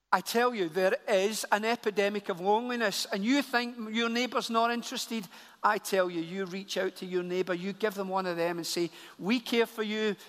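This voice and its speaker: A man with a high voice leads a church service, earnest and repeating phrases for emphasis. His words ring out with the long reverb of a church hall. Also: fairly bright tone. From Glasgow, Scotland. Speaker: church leader. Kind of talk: church appeal from the pulpit.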